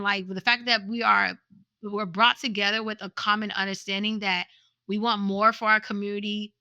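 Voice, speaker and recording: An animated woman, born in the United States to Haitian parents, speaks as a guest in a casual interview. Her voice is bright and sharp, and she laughs often and restarts her sentences. The sound is dry and close. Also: medium pitch, dry recording, muffled recording. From USA, born to Haitian parents. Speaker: podcast guest, nonprofit founder.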